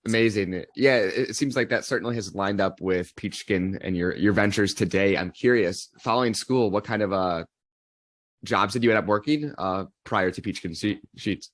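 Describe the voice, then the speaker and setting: A woman with an extremely deep voice hosts a podcast. She asks curious, supportive questions, and her voice has a dry sound with no room echo. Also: moderate pace. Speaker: podcast host. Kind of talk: interview questions and short affirmations.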